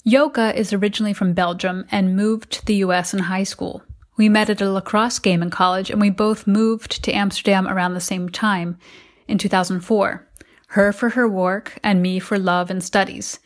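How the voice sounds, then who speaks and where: A woman's voice: mid-range voice, steady tone, moderate pace. A middle-aged woman with an American accent, narrating a personal podcast as its host from a prepared script. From United States.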